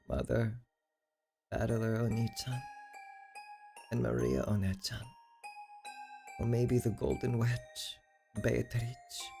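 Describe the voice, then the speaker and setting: A woman's voice: very deep voice, creaky, mellow, heavily acted. A woman voicing a solemn, haunted young woman in a game, brooding and resigned to fate. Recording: background music under the voice.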